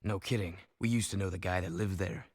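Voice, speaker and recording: An actor playing a tough, moody young rebel hero in a video game, husky, terse and defiant. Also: male voice, low voice, steady tone, brisk, a little hiss or hum, lightly acted. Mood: challenging. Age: young adult. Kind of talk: video game cutscene acting.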